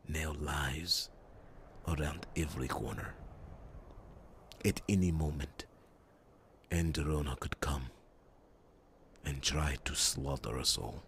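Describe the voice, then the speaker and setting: A man playing a grave, resolute warrior in an ASMR fantasy roleplay, speaking solemnly and deliberately, with dramatic pauses and a gravelly, breathy rumble. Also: a bit bright, full character voice. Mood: grave, determined.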